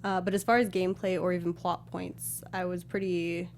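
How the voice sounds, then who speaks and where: A woman's voice: fairly low pitch, level tone, normal speed, slight background noise. A woman co-hosting a casual review chat show. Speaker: talk show co-host.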